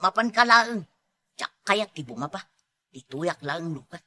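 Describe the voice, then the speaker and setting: A woman's voice: on the low side, lively intonation, unhurried, bright, forceful tone, exaggerated voice. A woman with an Ilocano accent playing an irritable, sorrowful wife who longs for a child, in a comedy drama. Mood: sullen, hurt.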